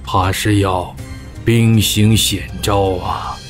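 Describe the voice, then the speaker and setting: A man plays a shrewd royal advisor in a Chinese costume radio drama. The voice is fairly low and slow, deferential yet scheming, each phrase deliberately placed over soft music. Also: steady tone. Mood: cautious counsel.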